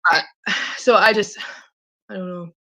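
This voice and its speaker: A woman's voice: medium pitch, steady tone, a little slow, bright, forceful tone. A woman in a casual, earnest phone conversation, rambling and hesitant, often repeating herself and swearing casually. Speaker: caller in a private phone call.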